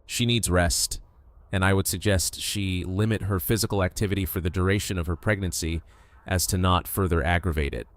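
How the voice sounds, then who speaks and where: A man playing a calm, reassuring doctor in an audio drama, explaining in a measured, clinical way. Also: low voice, no echo, natural voice. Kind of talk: full-cast audio drama acting.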